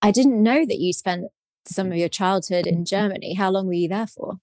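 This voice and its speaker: Female host, low and gravelly, dry close recording, curious follow-up questions. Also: brisk, darkish tone, no room sound. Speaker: podcast host. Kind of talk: interviewing a guest.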